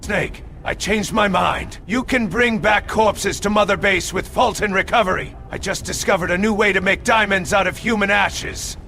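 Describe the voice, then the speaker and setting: A man's voice: high-pitched, normal speed, vocal fry, bright, forceful tone, exaggerated voice. A synthetic man's voice playing a scheming, money-minded military sidekick from a video game, over noisy ambience. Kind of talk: synthetic character voice reading.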